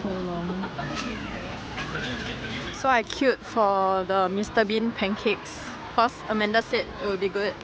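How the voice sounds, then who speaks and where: Young female travel vlogger, informal and slow-paced, phone audio buried in loud outdoor ambience. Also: medium pitch, fairly even intonation. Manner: informal, offhand reactions. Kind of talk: casual handheld vlog chat. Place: out and about, mostly outdoors at tourist spots. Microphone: handheld phone. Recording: very noisy outdoor phone recording.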